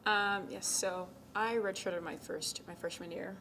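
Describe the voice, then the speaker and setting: A young woman from Ghana, a college tennis captain, answering interview questions in a soft, casual way with many ums, sometimes dropping to a near whisper. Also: mid-range voice, steady tone, a little slow. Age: young adult. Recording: noisy background.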